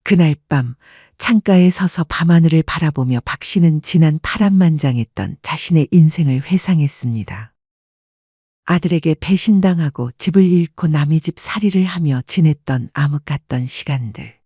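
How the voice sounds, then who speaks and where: A woman reads a scripted story calmly and evenly. Her voice is husky and mellow. The recording sounds dull and dry, close to the mic. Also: low voice, normal speed, no room sound. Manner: calm, even storytelling. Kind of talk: scripted audiobook narration.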